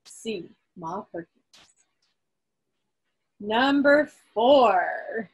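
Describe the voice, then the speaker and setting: A woman hosting a quiz on a group video call, reading out results to the group with deliberate emphasis. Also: fairly high pitch, expressive, husky, muffled recording. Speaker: quiz host at a club meeting.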